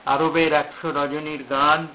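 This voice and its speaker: A man reciting his own poem in a measured, declamatory way, with pauses between phrases. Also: fairly high pitch, flat delivery. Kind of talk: poetry recitation. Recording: dull lo-fi, faint background noise.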